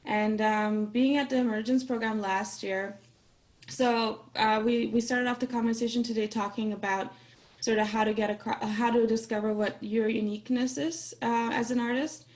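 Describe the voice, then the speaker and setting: A woman from Austria whose first language is Farsi, introducing herself in a relaxed, friendly way on a video call. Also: a little high, moderate pace. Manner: friendly, relaxed, laughs now and then. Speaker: workshop participant. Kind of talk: casual self-introduction.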